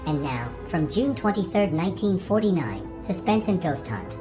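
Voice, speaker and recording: A woman's voice: on the low side, sing-song, moderate pace, over music. A woman reading a scripted program introduction as a presenter.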